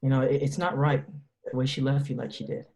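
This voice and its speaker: A man's voice: medium pitch, level tone, a little fast, gravelly, a little mellow, lightly acted. A man reading a teenage boy who speaks wryly to the camera in a script table reading. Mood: scheming. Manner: conversational, wry, musing. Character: teenage boy, loyal friend, talks to the camera. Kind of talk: table-read script acting, direct-to-camera asides.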